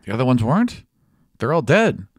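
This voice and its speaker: A man voicing an anxious, eager, shy young bird-person who stammers and rambles haltingly. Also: mid-range voice, very animated, no echo, studio quality. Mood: nervous, rattled.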